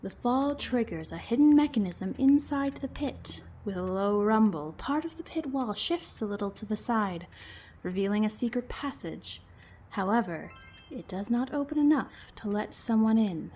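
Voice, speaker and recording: A woman's voice: fairly high pitch, expressive, normal speed, mellow, breathy edge. A woman acting a patient but exasperated game master in a comedy audio drama, explaining and pleading wearily. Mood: patient narrating. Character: patient, exasperated female game master and put-upon girlfriend.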